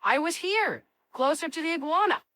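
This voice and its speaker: A woman voicing a spirited, cocky and excitable cartoon kid with an exclamatory, dramatic delivery. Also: very high-pitched, moderate pace, no room sound, exaggerated voice. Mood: argumentative. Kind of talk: animated series voice acting.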